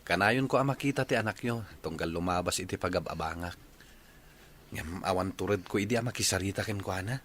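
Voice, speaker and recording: An actor playing a polite, easygoing young suitor in a radio drama. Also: male voice, low voice, brisk, a bit bright, a little hiss or hum. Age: young adult.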